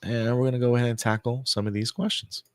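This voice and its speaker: A man hosting a live pop-culture news stream, talking casually with loose fillers and repeats, his voice a bit hoarse. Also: medium pitch, fairly even intonation, fast talker, no room sound. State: voice getting hoarse.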